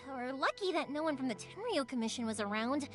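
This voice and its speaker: Game heroine, young and warm-hearted, very high animated voice, chatty and casual. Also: female voice, brisk. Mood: reassuring, matter-of-fact. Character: cheerful, warm-hearted young woman, fireworks maker and doting daughter. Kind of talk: English video game character acting. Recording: music playing behind the voice.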